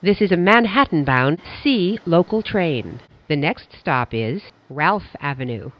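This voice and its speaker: A subway announcer delivers formal, scripted transit announcements in clipped stock phrases. The pace is slow, yet the pitch moves in lively swings. The audio is slightly dull and lo-fi.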